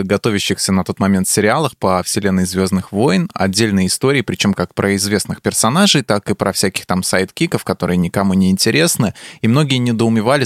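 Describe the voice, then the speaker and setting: Brief: man with a very low voice, podcast co-host, measured and thoughtful, dry close mic. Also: no room sound. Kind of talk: casual film discussion.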